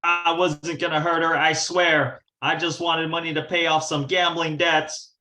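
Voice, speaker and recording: A man's voice: on the high side, flat delivery, unhurried, pushed and bright. A man playing a cocky, slangy young hoodlum in an amateur 1940s-style radio crime play. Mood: pleading. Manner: slangy, tough-talking. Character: cocky young small-time hoodlum kidnapper, slangy, menacing.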